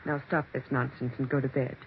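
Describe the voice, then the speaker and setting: A woman's voice: a bass voice, level tone. A woman playing a domineering, scheming mother who claims to speak with the dead, in stern American 1940s radio suspense acting. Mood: stern, menacing. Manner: stern, commanding, deliberate diction. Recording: dull, noisy 1940s radio recording.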